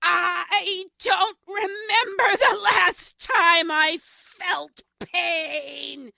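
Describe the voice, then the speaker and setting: A woman playing an ancient, menacing, haughty demon hag in an audio drama, with a creaky, shrieking voice and grandiose threats. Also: very high-pitched, sharp tone, no echo, clean studio sound, heavily acted. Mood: wounded rage. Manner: grandiose, slow threatening speech.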